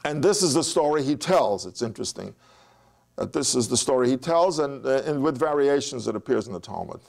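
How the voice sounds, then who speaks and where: Older man lecturing with animated storytelling. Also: medium pitch, a little fast, gravelly. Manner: animated storytelling, gestures with hands, frequent restarts. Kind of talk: recorded history lecture. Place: classroom in front of a chalkboard. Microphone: lapel.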